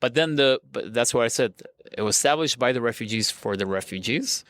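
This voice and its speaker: A man's voice: medium pitch, lively intonation, a little fast, a bit bright, dry recording. A man from Jerusalem, a native Armenian speaker, chatting animatedly as a podcast guest and joking and laughing often. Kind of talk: casual interview conversation.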